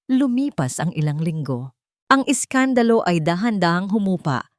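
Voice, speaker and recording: Low-pitched Filipino woman narrating a story, dry sound. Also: darkish tone, dry recording. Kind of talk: dramatic scripted story narration.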